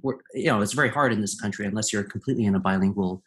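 A man talks as a guest in an easygoing conversation, his voice sitting a little low. He speaks thoughtfully, pausing and reaching for the right words as he goes. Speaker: guest composer. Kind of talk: casual conversation.

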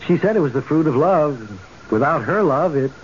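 Man acting a puzzled, higher-voiced, animated neighbor. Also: normal speed, close to an everyday voice. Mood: wistful, puzzled. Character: puzzled, inquisitive male neighbor paying a call. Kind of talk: 1970s radio drama acting. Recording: dull old radio recording, faint hiss.

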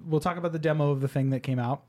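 A man's voice: on the high side, steady tone, mellow. A man co-hosting a casual podcast, presenting gaming news with joking asides. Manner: joking, quick asides, then explains news in a run. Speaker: podcast co-host.

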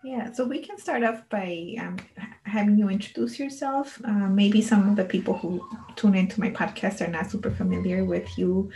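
A woman's voice: medium pitch, moderate pace, gravelly, warm tone, slight background noise, low-quality recording. A woman hosting a podcast, introducing and interviewing in a casual, somewhat hesitant conversational way.

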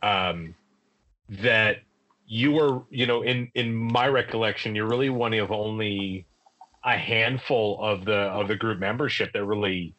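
A man co-hosting a casual chat, earnest and a little halting as he speaks. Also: fairly low pitch, fairly bright tone, muffled recording. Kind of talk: casual conversation.

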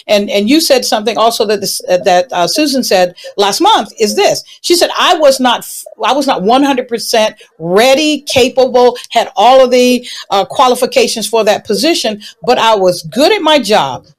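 Host: woman, slightly high pitch, warm-up encouraging questions, animated delivery. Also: normal speed. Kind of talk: conversational interview.

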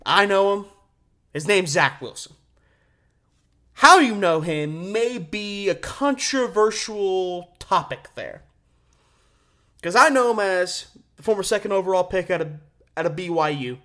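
A man in his twenties with a slightly high voice. He hosts a sports talk show alone on campus radio, speaking informally and with emphasis, dropping in casual slang. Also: animated, unhurried, pauses often, bright, forceful tone. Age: 20s.

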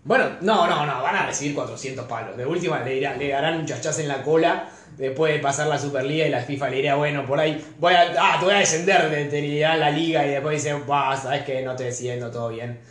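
A man's voice: a little high, fast talker, a bit bright. A young man with an Argentine Rioplatense accent in a casual sports chat, talking in crude slang. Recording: roomy, echoey room.

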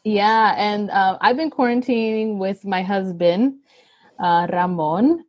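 A woman's voice: medium pitch, slow. Friendly woman guest from Los Angeles. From Los Angeles, United States. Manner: friendly, easygoing, mixing in Spanish. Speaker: guest, sexuality health educator. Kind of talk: interview.

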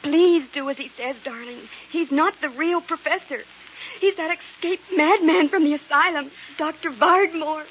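Actress as a high-pitched, pleading sweetheart. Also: female voice, normal speed, close to an everyday voice. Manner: urgent, pleading, melodramatic. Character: frightened young woman, pleading tenderly with her lover. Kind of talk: old radio horror acting. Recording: dull old radio recording with noise.

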